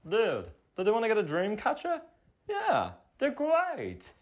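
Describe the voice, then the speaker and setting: Man playing a swoopy-pitched laid-back hippie vendor. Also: wildly swooping pitch, unhurried, a little hiss or hum, exaggerated voice. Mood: breezy, selling. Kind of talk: comedy character monologue.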